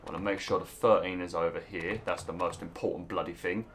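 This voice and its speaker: A young British man talks through a game in a relaxed, chatty way, his voice sitting a bit low. His throat sounds a little rough and hoarse. He now and then bursts out in mild, exasperated swearing at what happens on screen. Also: fairly quick, a little hiss or hum. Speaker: let's play host. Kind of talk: casual gameplay commentary.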